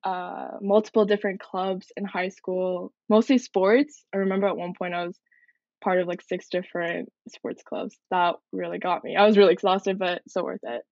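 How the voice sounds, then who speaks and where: A woman's voice: lowish voice, little pitch movement, normal speed, gravelly, a little mellow, dry recording, dull audio. A young woman from Canada, a military cadet, answering interview questions in an earnest, reflective way. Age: young adult.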